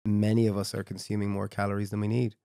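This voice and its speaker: A man's voice: fairly low pitch, completely flat delivery, fast, dark tone, no room sound, studio quality. A man co-hosting a podcast, eagerly prompting the guest with questions and finishing his thoughts. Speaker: podcast co-host. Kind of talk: casual conversation.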